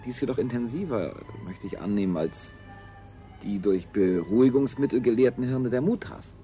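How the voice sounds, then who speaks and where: An actor playing a cold, controlling scientist-ruler in a radio play, speaking in a clinical, formal, measured way. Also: male voice, medium pitch, lively intonation, warm tone, soft background music, lightly acted. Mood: clinical, detached. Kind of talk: 1970s German radio play acting.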